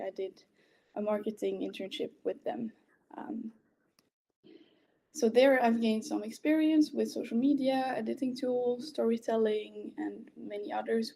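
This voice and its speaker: A woman's voice: a little high, gravelly, dark tone, poor audio. A woman in her twenties from French-speaking Belgium, a native French speaker, giving an informal prepared presentation as a candidate. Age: 20s.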